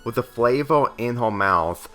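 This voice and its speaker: A man's voice: mid-range voice, lively intonation, measured pace, fairly bright tone. A man reading a scripted fan fiction story aloud as narrator, with occasional slips. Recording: music under the voice. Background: instrumental music.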